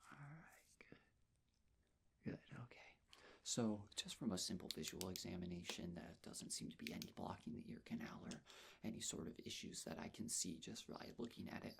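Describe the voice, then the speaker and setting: Soft spoken asmr guy doing a nurse checkup, low n gravelly, kinda whispery, gentle and reassuring. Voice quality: breathy, husky, often whispered. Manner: gentle, reassuring, unhurried personal attention. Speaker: ASMR performer playing a nurse.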